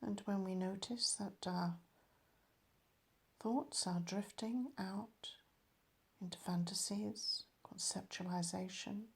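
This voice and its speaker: A woman leading a guided meditation as a teacher, calm and measured, letting her phrases end softly. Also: medium pitch.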